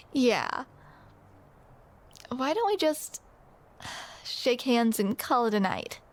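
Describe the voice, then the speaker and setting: Young woman, romantic audio roleplay: teasing and playful, high voice, unhurried. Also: big pitch swings. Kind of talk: scripted romantic roleplay spoken to the listener.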